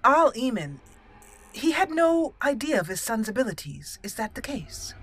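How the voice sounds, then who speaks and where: A woman hosting a roleplay let's play, musing aloud and talking in character with deliberate, halting, dramatic emphasis. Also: a little high, very animated, a bit bright, husky. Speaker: gaming channel host, roleplayer.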